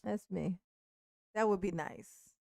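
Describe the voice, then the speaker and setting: A woman's voice: mid-range voice, slow pace, vocal fry, a little mellow, no echo, clean studio sound. A woman in her forties chatting casually on a live stream, speaking bluntly and profanely with frequent restarts and laughs. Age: 40s.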